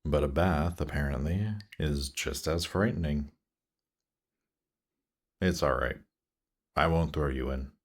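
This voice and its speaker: A man playing a suave, patient, seductive demon prince in a fantasy roleplay audio drama, speaking in a teasing, coaxing, measured way. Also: a bass voice, exaggerated voice. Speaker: voice actor. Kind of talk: slow, intimate roleplay audio drama performance.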